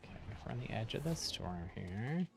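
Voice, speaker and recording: A man's voice: fairly low pitch, big pitch swings, normal speed, gravelly, noisy background. A man giving casual live gameplay commentary as a streamer, thinking aloud in an easygoing way. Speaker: game streamer. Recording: game music behind the voice.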